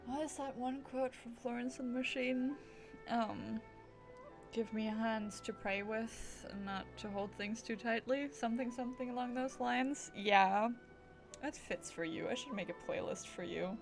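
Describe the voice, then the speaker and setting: A woman game streamer with a fairly high voice. She comments casually and chattily, addressing the characters as if they could hear her. Game music is clearly audible behind her. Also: measured pace. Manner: chatty, talks back to the characters.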